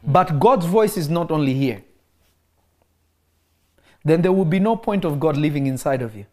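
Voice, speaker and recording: A man's voice: fairly high pitch, animated, moderate pace, dark tone. Emphatic man preaching in conversation. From Kenya. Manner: emphatic, repeats key phrases.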